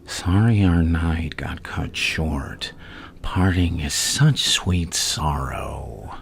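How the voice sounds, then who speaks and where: A man playing a gleefully menacing, seductive madman villain in an ASMR roleplay, teasing and taunting in a gravelly, breathy voice that often sinks to a whisper. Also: a bass voice, extremely slow, full character voice. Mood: gloating, teasing.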